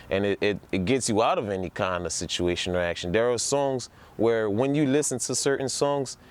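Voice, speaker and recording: A man presents in a casual, conversational way. His voice sits on the low side, and he speaks with enthusiasm, repeating words to drive a point home. Music plays faintly behind him. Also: darkish tone. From New Orleans, United States. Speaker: presenter introducing a music production project. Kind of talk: casual informal talk to camera.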